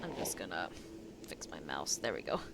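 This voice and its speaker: A woman's voice: rumbling low, big pitch swings, moderate pace, gravelly, noisy background. A woman giving casual, off-the-cuff commentary as she streams a video game.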